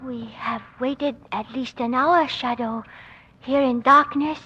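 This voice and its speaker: An actress playing a timid, devoted Chinese maid in a 1940s radio drama, speaking soft, formal, stilted broken English. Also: female voice, high-pitched, fairly even intonation, slow, husky, lo-fi sound, exaggerated voice. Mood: solemn.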